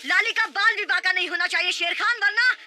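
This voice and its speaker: A woman's voice: extremely high pitch, fairly even intonation, fairly quick, noisy background. A woman voicing a brave, suspicious boy raised by wolves in a cartoon, earnest and accusing. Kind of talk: cartoon voice acting.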